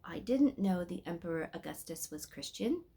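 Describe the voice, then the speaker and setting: A woman's voice: fairly low pitch, very animated, moderate pace, vocal fry, dark tone, slightly rough, full character voice. A woman voicing a curious, wondering young girl in a storybook, with an inquisitive manner.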